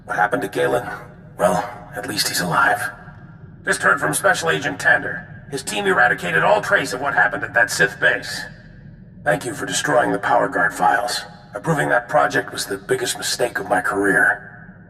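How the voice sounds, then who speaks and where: An actor playing a weary, gruff military general in a video game, with a gravelly voice and echo around it. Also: male voice, lively intonation, moderate pace, pushed and bright, exaggerated voice. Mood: weary, regretful. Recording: echoey hall, noisy rumble.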